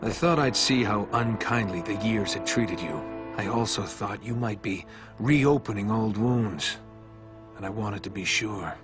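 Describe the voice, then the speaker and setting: An actor playing a dryly cocky, world-weary hunter with a sardonic manner in a dramatic video game. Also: male voice, lowish voice, measured pace, over music, lightly acted. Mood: dry, mocking. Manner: sardonic, dry.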